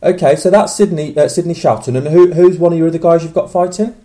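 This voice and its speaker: A man hosting an interview, asking questions quickly and often restarting his words. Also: fairly high pitch, mellow. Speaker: interviewer host.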